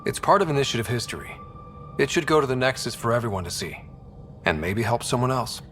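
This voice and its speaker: Young male explorer lead, actor, sci-fi game. Casual, understated, dry humor, medium pitch. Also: animated, quick pace, fairly bright tone, a little hiss or hum, natural voice. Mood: easygoing. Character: young male space explorer leader, easygoing, wry. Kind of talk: naturalistic sci-fi game acting.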